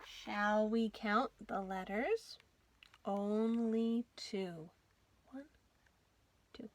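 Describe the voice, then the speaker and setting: A woman hosting a children's storytime, reading aloud in an animated, sing-song way and putting questions to young listeners. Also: medium pitch, extremely slow, slight background noise.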